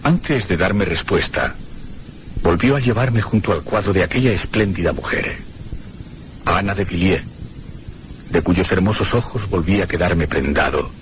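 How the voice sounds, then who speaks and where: An actor with a Castilian Spanish accent playing a troubled traveller who narrates an eerie radio drama in a measured, literary way. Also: male voice, low voice, a little hiss or hum. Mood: brooding, reflective. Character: troubled, brooding male traveller recounting an eerie tale. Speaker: narrator and protagonist. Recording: radio drama with music behind. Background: prominent music.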